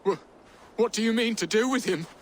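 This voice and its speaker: An actor playing a defensive, haughty priest in a video game, indignant and blustering. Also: male voice, sits high, brisk, slight background noise, lightly acted. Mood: uneasy.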